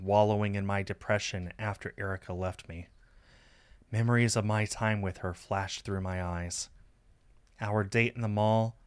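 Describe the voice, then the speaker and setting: Low-voiced man narrating a story, dry sound. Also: clear, resonant tone, no room sound. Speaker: streamer reading a visual novel aloud.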